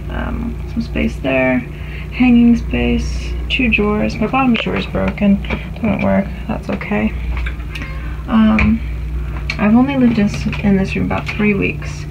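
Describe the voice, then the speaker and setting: Young woman vlogger, casual and rambling, medium pitch, dull noisy phone recording in a small cabin. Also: creaky. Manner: chatty, rambling, with many fillers. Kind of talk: casual room tour vlog. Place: small crew cabin on a cruise ship. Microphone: phone camera held in hand. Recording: dull lo-fi phone audio with noise.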